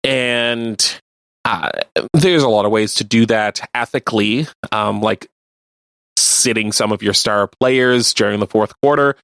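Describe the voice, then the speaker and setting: A man co-hosting a radio show, chatting casually about sports and explaining things in a halting way. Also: on the low side, fairly bright tone, clear, resonant tone, no echo. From Canada. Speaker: radio co-host.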